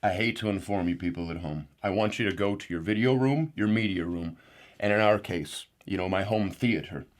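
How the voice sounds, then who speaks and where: Un uomo che fa un opinionista complottista paranoico. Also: male voice, fairly quick, close to an everyday voice. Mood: indignant. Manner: indignant, excitable, outbursts of rising pitch. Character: paranoid male conspiracy pundit, excitable. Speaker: show regular. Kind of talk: comedic parody show.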